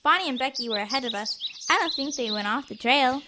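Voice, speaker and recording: A woman's voice: high voice, expressive, fast, sharp tone, a little hiss or hum. A woman playing a breezy, confident young girl scout in an audio drama, casual and unbothered.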